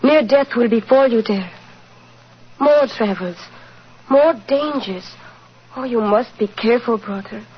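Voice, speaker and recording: An actress playing a solemn, mystical Romani fortune-teller in a 1940s radio drama, speaking in formal, portentous phrases. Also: female voice, a little high, measured pace, pauses often, husky. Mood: ominous, solemn. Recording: dull, hissy old radio recording.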